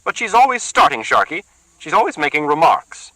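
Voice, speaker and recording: A man voicing a loud, sarcastic woman who needles everyone with sour jabs. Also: very animated, a little fast, no echo, poor audio. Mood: aggrieved. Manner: shrill, jabbing, sour sarcasm.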